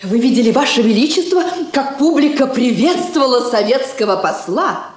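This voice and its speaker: An arch, exclamatory society countess, played by an actress in a Soviet drama. Her high voice moves animatedly through each phrase in a roomy recording. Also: expressive, moderate pace, close to an everyday voice. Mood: arch, delighted. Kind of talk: Soviet stage drama acting.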